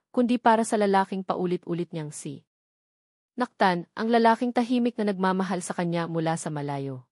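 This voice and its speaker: A woman's voice: medium pitch, darkish tone, no room sound, clean studio sound. A woman with a Filipino accent narrating a scripted dramatic love story as an audiobook reader.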